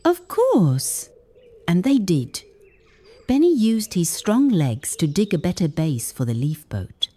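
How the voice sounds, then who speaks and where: Casting: female children's storybook narrator, gentle and expressive, warm dark tone, slow pace, very animated melody. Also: lowish voice, breathy edge. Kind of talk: scripted read-aloud children's story narration.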